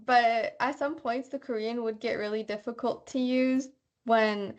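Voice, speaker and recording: A woman's voice: on the high side, steady tone, unhurried, muffled recording. A young woman, a student abroad, chatting casually about daily life, with many fillers and "like"s. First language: bilingual. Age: young adult. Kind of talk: casual conversation.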